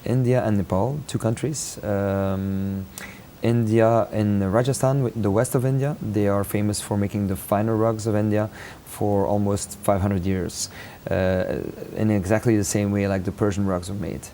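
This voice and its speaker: A man's voice: on the low side, steady tone, normal speed, dark tone, slight background noise. A man from Belgium, a rug maker, answering interview questions in a hesitant way with many fillers and restarts.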